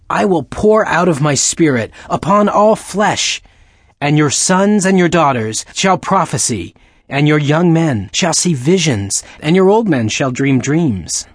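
An excitable, worried young man, as a man puts him on in an audiobook, his voice sitting higher and leaping around in pitch. He sounds husky and reacts quickly. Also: no echo, clean studio sound. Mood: awed. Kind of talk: audiobook character voice.

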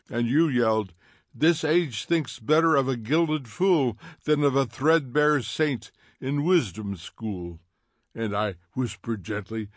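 A man voicing a smug, needling fire captain who mocks in quick theatrical bursts, in an audiobook reading. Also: mid-range voice, dry recording, studio quality, voice pushed up. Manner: taunting, theatrical, fast mocking bursts.

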